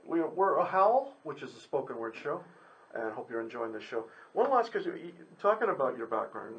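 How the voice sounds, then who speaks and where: A man's voice: mid-range voice, fairly bright tone, muffled recording. A man hosting a live radio interview in a casual, chatty, rambling and courteous way. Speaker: radio host. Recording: noisy, with background ambience.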